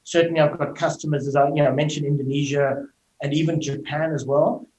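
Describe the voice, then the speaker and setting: Man, South African accent, middle-aged, slightly high voice, fluent explainer, interview guest, roomy echo. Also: steady tone, brisk, a little mellow. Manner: explanatory, fluent, uses fillers like "you know". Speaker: tech company executive, guest. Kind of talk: interview answers.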